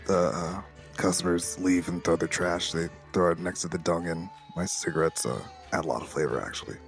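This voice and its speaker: A man voicing a blunt, deadpan, chain-smoking circus worker who grumbles short replies. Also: low voice, a little fast, gravelly, soft background music. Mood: surly.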